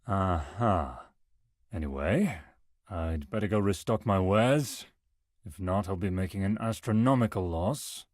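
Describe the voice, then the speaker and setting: Man playing a low, husky travelling merchant. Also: animated, unhurried, darkish tone, dry recording, studio quality. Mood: wry. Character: weary, practical travelling merchant man, exasperated but wry. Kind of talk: visual novel character acting.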